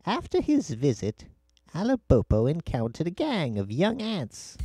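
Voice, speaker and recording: A man's voice: on the high side, wildly swooping pitch, unhurried, warm tone, breathy edge. A man with a British accent, an author reading his own story as scripted narration in a children's-tale style. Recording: music behind the voice.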